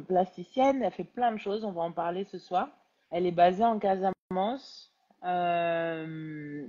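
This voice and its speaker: A woman hosts a live-stream interview. A cold has left her stuffed up and nasal. Her speech is slow, unhurried and full of hesitations, in a slightly low voice, over dull lo-fi stream audio. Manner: relaxed, chatty, hesitant with many fillers. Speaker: interview host.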